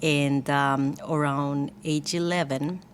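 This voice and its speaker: A woman's voice: sits low, fairly even intonation, slow, a little hiss or hum. A woman from Costa Rica with a Costa Rican Spanish accent, telling her personal story in a candid, chatty, lightly joking way as an interview guest. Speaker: guest, church worship team leader.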